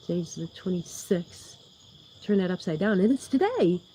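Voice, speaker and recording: A woman's voice: fairly low pitch, very animated, normal speed, mellow, slightly rough. A woman hosting a livestream in casual, rambling chat, with a soothing voice, laughing often and losing her train of thought. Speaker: YouTube livestream host.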